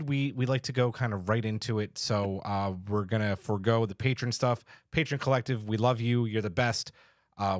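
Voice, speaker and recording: Animated male podcast host. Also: fairly low pitch, no room sound, studio quality. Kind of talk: casual podcast chat.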